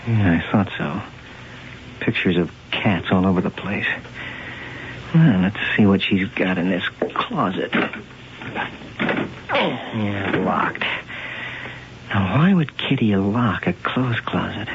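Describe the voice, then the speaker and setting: An actor playing an earnest, prying police lieutenant in a radio mystery drama, conversational and insistent. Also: male voice, low voice, animated, slow pace, pauses often, exaggerated voice. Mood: curious, muttering. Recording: dull, hissy 1980 radio broadcast.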